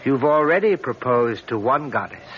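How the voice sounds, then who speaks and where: An actor playing a wry, urbane friend and rival, speaking in a measured, ironic and insinuating way in a radio drama. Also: male voice, mid-range voice, expressive, natural voice. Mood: wry needling. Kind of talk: old-time radio drama acting. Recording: muffled old radio recording, noticeable hiss.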